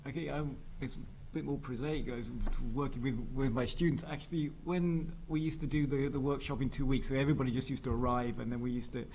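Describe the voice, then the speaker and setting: Hesitant male lecturer, bit of fry in the voice, talking from a stage table. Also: mid-range voice, fairly even intonation, fast, few pauses, a little mellow, slight background noise, low-quality recording. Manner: hesitant, many fillers and restarts. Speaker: design lecturer. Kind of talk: panel discussion. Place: large dark auditorium stage.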